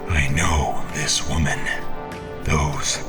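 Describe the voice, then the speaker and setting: A man's voice: extremely low pitch, steady tone, very slow, music in the background, heavily acted. A man playing an obsessed, menacing, half-mad champion in a theatrical monologue, grandiose and brooding, with whispery breaths. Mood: haunted, intense.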